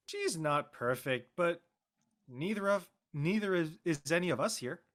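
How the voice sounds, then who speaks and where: A man's voice: on the high side, animated, measured pace, fairly bright tone. A man playing an easygoing, wry teenage boy, speaking casually in a drama read. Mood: thoughtful.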